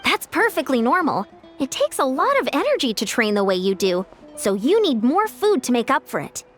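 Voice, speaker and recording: A woman's voice: sits high, sing-song, normal speed, a bit bright, faint music behind. An actress playing a cheerful young female athlete with a big appetite in a video game, friendly and eager. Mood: reassuring.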